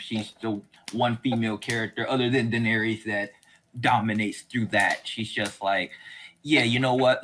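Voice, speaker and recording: A man speaks as the host of a relaxed discussion, at a middling pitch. He rambles informally in a conversational style. The recording has some faint noise and small clicks. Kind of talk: casual chat about a TV series.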